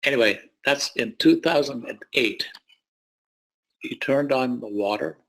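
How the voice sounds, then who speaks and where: An elderly man with a middle-pitched voice recounts his own history as an interview guest. He speaks in a wry, anecdotal, plain-spoken manner, like a retired doctor looking back on his career. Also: a little slow, creaky, fairly bright tone, low-quality recording. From born in Poland, grew up in the United States. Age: 70s or older. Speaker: guest, retired surgeon and medical advisor. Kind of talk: autobiographical storytelling in an interview.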